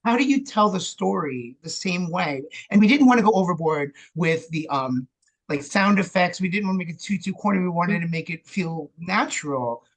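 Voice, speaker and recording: A man's voice: high voice, animated, normal speed. A man, a podcast guest who acts and directs, explaining things casually in an earnest, emphatic way.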